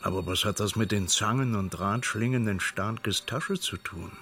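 A man's voice: lowish voice, moderate pace, fairly bright tone, faint music behind. An actor in a radio play playing an amused, sceptical man with a dry, questioning manner. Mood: curious, sceptical. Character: sceptical, amused male conversation partner. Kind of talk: conversational radio play dialogue.

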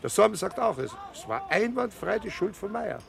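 A man playing a humble, hesitant construction foreman, halting and deferential, in a naturalistic drama. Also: sits high, big pitch swings, vocal fry, full character voice. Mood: earnest, uneasy. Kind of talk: naturalistic film drama dialogue. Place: outdoor building site.

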